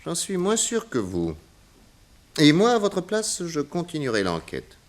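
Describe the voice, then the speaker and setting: An actor playing a skeptical private detective who questions courteously and insistently in a French radio drama. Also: male voice, fairly high pitch, wildly swooping pitch, unhurried. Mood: doubtful.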